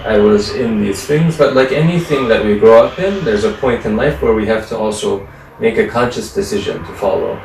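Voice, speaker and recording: A man, a Sufi practitioner, giving measured, explanatory interview answers, dropping in Arabic religious terms. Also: on the low side, creaky, darkish tone, slight background noise, poor audio. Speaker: guest, Mevlevi Sufi practitioner.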